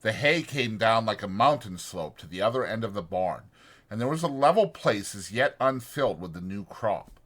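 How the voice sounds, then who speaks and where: A man's voice: fairly low pitch, lively intonation, normal speed, a bit bright. A man reading a story as a dramatic audiobook narrator.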